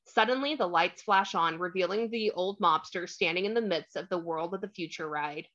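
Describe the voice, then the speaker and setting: A woman's voice: on the low side, normal speed, a bit bright. A woman reading scripted stage directions as narrator of a screenplay table read, on a slightly dull microphone.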